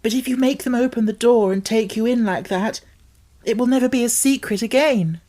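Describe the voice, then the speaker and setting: Storybook young girl, woman narrator acting: earnest, high-voiced, breathless, words tumbling out. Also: fairly quick, warm tone, natural voice. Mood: distressed, pleading.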